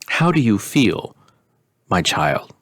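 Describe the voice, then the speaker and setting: A man's voice: lowish voice, very animated, takes it slowly, fairly bright tone, no room sound, studio quality, heavily acted. A man voicing a fond, worried father king in a storybook, earnest and coaxing.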